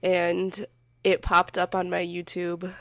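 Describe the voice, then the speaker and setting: A woman's voice: on the low side, completely flat delivery, takes it slowly, a bit bright, no room sound, clean studio sound. A woman hosting a casual podcast chat while getting over a cold, scratchy in the throat and rambling with frequent giggles. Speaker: podcast host.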